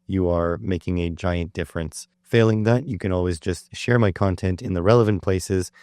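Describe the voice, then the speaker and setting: A middle-aged man hosting a solo electronics podcast, talking in a rambling, explanatory way with frequent fillers, his voice slightly hoarse. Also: sits low, normal speed, a little mellow, dry recording, studio quality. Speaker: podcast host. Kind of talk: solo educational podcast talk.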